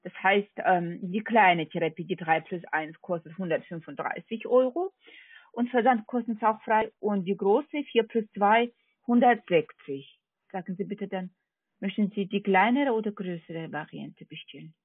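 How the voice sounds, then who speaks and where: Woman, foreign accent, husky voice, medium pitch, polite halting telemarketer, dry narrowband phone sound. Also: no room sound. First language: not German. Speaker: telemarketing call center agent. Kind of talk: scripted phone sales pitch. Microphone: telephone. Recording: tinny, narrowband phone line.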